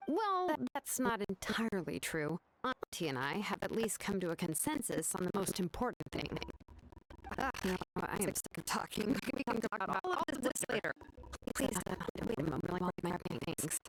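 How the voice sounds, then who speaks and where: Animated young craftswoman, voiced by an actress. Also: mid-range voice, background noise, lightly acted. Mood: candid, then weary. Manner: measured, explanatory, casually matter-of-fact. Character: young female name-engraving artisan, earnest, laid-back, knowledgeable. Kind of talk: video game voice acting.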